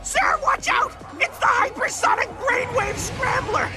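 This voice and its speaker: High-pitched, jittery little robot. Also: male voice, big pitch swings, normal speed, full character voice. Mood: urgent. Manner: nervous, flustered, hesitant. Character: timid, eager young male robot caretaker, jittery and apologetic. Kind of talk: video game cutscene acting. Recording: echoey hall reverb. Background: loud music.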